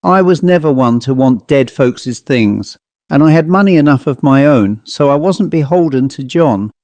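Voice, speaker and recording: A man's voice: fairly high pitch, lively intonation, normal speed, darkish tone, dry recording. A man voicing a hard, defiant country housewife from New England in a storybook reading, curt and scornful. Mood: complacent, curt. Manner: scornful, curt, rustic phrasing.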